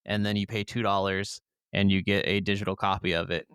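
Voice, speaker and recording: A man's voice: deep voice, little pitch movement, fairly quick, fairly bright tone, clean tone, no room sound, studio quality. A man co-hosting a podcast, chatting casually and informally about video games. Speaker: podcast co-host.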